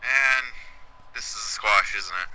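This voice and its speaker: A man giving excitable, blunt commentary on a wrestling game, swearing freely. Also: lowish voice, unhurried, low-quality recording. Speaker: gaming commentator. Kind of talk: casual let's-play commentary.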